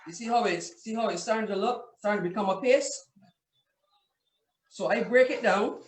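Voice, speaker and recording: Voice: medium pitch, moderate pace. Cook demoing on a call, step-by-step talker, far from the mic, roomy echo, bit of faint noise. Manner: explains step by step with many "right?" checks. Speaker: chef cooking live. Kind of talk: cooking demonstration on a video call. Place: home kitchen. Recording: distant echoey room with faint noise.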